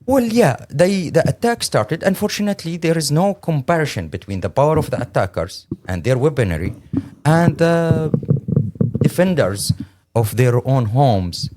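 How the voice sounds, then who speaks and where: Syrian-accented man, lively swings in pitch. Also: normal speed. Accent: Syrian Arabic. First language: Arabic. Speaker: interview guest, lawyer and humanitarian. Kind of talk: earnest first-hand account in an interview.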